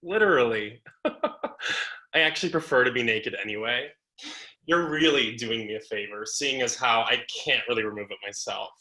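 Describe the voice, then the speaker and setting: A man's voice: mid-range voice, normal speed, sharp tone. Sassy, self-adoring mannequin diva for a stage reading, played by a man; forward, cutting tone, camp delivery. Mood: breezy, girlish banter. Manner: sassy, campy, theatrical indignation. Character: sassy, vain talking mannequin who calls itself a womannequin.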